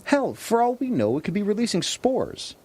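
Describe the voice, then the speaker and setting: Husky, animated, cautious man survivor. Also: high voice, normal speed, full character voice. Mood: wary, tense. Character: cautious, worried male survivor on the road. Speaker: supporting lead actor. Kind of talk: naturalistic audio drama dialogue.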